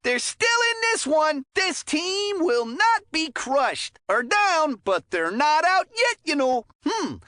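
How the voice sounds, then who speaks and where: A high-pitched, bright cartoon sports announcer, calls kids' hockey for a video game. The delivery is folksy and exclamatory, swinging widely up and down with a joking, excitable temper. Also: female voice, takes it slowly, hardly any pauses, no room sound, studio quality, full character voice. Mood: musing, folksy. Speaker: sports commentator. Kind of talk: scripted play-by-play game commentary.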